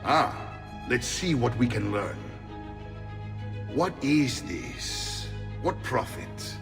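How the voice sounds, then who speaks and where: A gruff mercenary uncle talks bluntly with a lively pitch. His voice carries a long hall reverb over a strong music score. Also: very slow, full character voice. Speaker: hero's uncle, mercenary leader.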